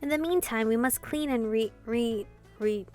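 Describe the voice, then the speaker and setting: A woman streamer reading game dialogue aloud and reacting with casual, expressive commentary. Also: fairly high pitch, normal speed. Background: game music.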